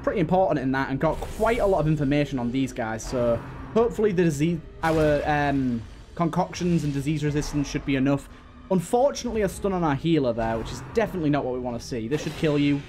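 A man with a fairly high voice commentates casually on a game as he plays. He speaks to the characters directly and repeats himself, with music playing underneath. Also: animated, fast, a little mellow. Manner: talks to the game's characters, repeats phrases. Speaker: gaming host. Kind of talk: casual let's play commentary.

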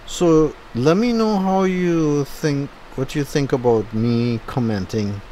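A man with a Trinidadian accent giving a low-key, unenthusiastic running commentary while driving. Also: slow, warm tone, slight background noise, low-quality recording. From Trinidad and Tobago. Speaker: driving vlogger. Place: inside a moving car.

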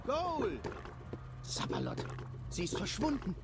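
A man's voice: high-pitched, huge pitch swings, slow pace, very noisy, exaggerated voice. An actor voicing a cocky young male adventurer in a video game, talking in self-assured quips. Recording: game audio over background music.

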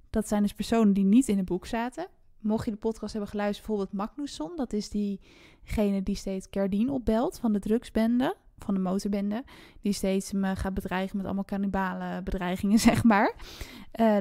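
A woman's voice: mid-range voice, dark tone, no room sound. Woman chatting casually, close dry sound. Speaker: book podcast host. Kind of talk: casual book discussion.

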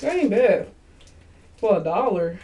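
A woman's voice: mid-range voice, very animated, measured pace, mellow, slight background noise. A woman, chatting animatedly to viewers in a casual haul video from a small bedroom, recorded on a webcam. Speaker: vlogger.

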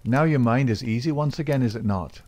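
A man's voice: mid-range voice, a little fast, voice pushed up, close to an everyday voice. A man doing a coaxing rogue. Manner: coaxing, reassuring, soft-spoken. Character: charming Irish secret-society man, smooth-talking lover.

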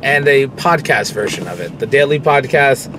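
Young male vlogger, casual and frank, records himself in a moving car with steady road hum. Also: medium pitch, moderate pace. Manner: rambling, candid, swears freely. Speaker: vlogger and pro wrestler. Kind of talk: casual talk to camera while driving. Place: inside a car, driving. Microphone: phone camera on dashboard. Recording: car interior with road noise. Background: road and engine noise.

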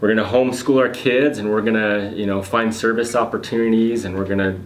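A man's voice: on the low side, steady tone, a little fast. A man in his thirties being interviewed in an office meeting room, talking casually with many fillers and restarts. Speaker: guest, real estate investor. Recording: echoey room, faint noise.

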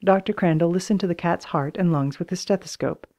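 A woman's voice: fairly low pitch, brisk, mellow. A woman reading scripted children's book narration as an audiobook narrator.

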